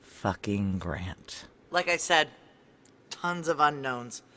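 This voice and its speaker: A woman's voice: very deep voice, big pitch swings, takes it slowly, fairly bright tone, heavily acted. An actress playing a tough, sardonic, profane female military security chief in a noir audio drama, blunt and wry. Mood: wry, weary. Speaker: lead actor and narrator.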